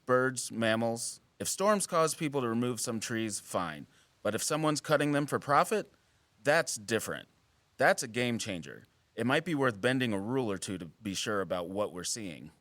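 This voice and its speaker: An actor playing a wry, guarded wildlife biologist in an audio drama, with teasing, dry sarcasm and an earnest streak. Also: male voice, mid-range voice, sing-song, normal speed, a bit bright, dry recording. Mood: earnest, conspiratorial. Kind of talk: naturalistic audio drama acting.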